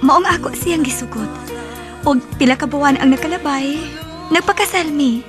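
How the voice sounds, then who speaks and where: A gentle, wistful young woman recounts her life in a radio play, performed by an actress. Her voice is high and soft, with clear background music. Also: moderate pace, close to an everyday voice. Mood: tender. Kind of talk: radio drama acting and narration.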